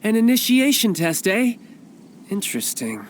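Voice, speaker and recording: An actor playing a cocky, polite young agent with theatrical self-assurance in a video game. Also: male voice, high voice, big pitch swings, normal speed, a little hiss or hum. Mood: cocky, playful. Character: smooth, cocky young male agent posing as toy salesman.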